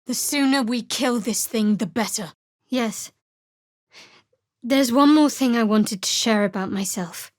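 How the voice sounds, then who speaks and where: A woman's voice: fairly high pitch, unhurried, no room sound, close to an everyday voice. An actress playing a guarded, sardonic young female cleric in a fantasy video game, speaking in a dry, clipped manner. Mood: grave, measured.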